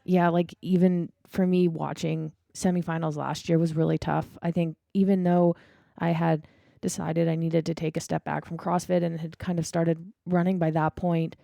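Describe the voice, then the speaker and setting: Low, creaky-voiced woman podcaster. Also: level tone, normal speed, hardly any pauses, dark tone, no room sound. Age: 30s. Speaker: podcast co-host. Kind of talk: casual conversation.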